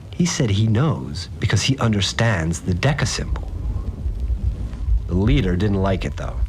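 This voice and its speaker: A man's voice: medium pitch, a little hiss or hum, lightly acted. An actor playing a slangy, rattled fixer who tells his story informally in an audio drama. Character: rattled, slangy male fixer recounting events. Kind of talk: first-person audio drama monologue. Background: music.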